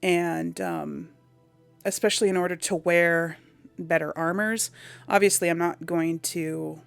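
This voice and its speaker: A woman with a lowish voice and a noticeable creak chats her way through game commentary. She speaks informally and rambles as she thinks aloud, with music playing behind her. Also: steady tone, unhurried, no room sound. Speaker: gaming host. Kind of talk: casual game commentary to an audience.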